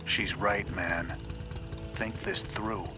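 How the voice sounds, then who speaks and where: A man's voice: low voice, fairly even intonation, slow pace. A man playing a wry, defiant soldier captain in a video game, speaking in a sardonic, plain-spoken way. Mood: indignant. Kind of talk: dramatic video game voice acting. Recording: loud music behind the voice.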